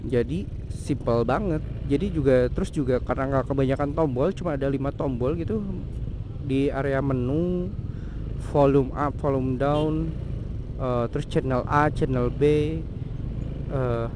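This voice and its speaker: A young man giving a casual, slangy product review outdoors, with lots of fillers and restarts. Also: on the high side. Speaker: vlogger reviewing a motorcycle intercom. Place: outdoors by a road. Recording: outdoor traffic noise. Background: traffic noise.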